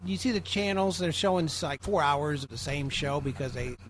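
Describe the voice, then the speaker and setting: A man joins a casual group chat. His voice is a little higher than most men's, and there is light background noise. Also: fairly quick. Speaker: coffee club participant.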